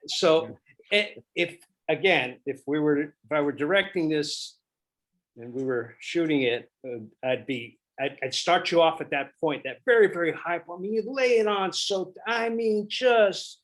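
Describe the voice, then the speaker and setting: A man giving casual directing notes to actors, thinking aloud and often repeating himself in a halting way. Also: fairly high pitch. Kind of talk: casual spoken feedback.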